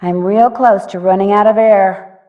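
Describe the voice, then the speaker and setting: A woman's voice: medium pitch, steady tone, normal speed, dry recording, phone-quality sound, lightly acted. An actress playing a plucky, curious young American woman detective who thinks aloud in a wry, scripted adventure game style. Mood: worried. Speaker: video game voice actor.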